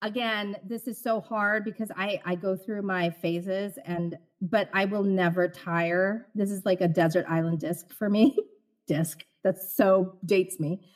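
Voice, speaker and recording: A middle-aged woman born in the Philippines, a stage actor talking as a guest in an interview, expressive and animated, laughing often. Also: mid-range voice, a little slow. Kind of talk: conversational interview.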